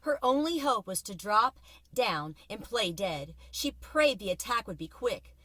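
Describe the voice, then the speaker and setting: A woman reading a children's book aloud from inside a car, with expressive, suspenseful dramatic narration like a teacher. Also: mid-range voice, measured pace, dry recording. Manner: expressive, suspenseful storytelling with varied volume.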